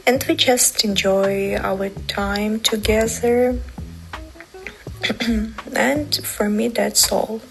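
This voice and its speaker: A woman in her twenties from Ukraine, speaking English, answering interview questions carefully, pausing and restarting words. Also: mid-range voice, fairly even intonation, vocal fry, faint music behind, rough recording. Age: 20s. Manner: careful non-native English with pauses and restarts.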